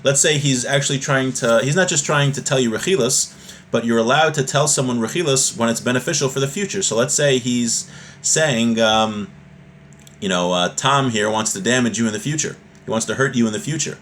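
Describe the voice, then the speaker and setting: A man's voice: mid-range voice, fairly quick, fairly bright tone. A man giving an informal religious lesson in a didactic, conversational way, reading from a text and then explaining it. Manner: didactic, conversational, checks in with "right?". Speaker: religious teacher. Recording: noisy background ambience.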